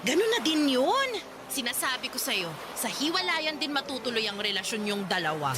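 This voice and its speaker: Pushy teasing friend. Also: female voice, high voice, sing-song, moderate pace. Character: young woman, blunt meddling friend. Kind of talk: radio drama acting. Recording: clear background noise.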